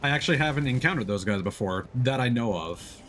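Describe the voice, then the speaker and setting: A man's voice: medium pitch, animated, fast, fairly bright tone, soft background music. A man giving casual, playful gameplay commentary, with loose swearing and silly exclamations. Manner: playful, profane exclamations and sound words. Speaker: game streamer. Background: video game sound effects.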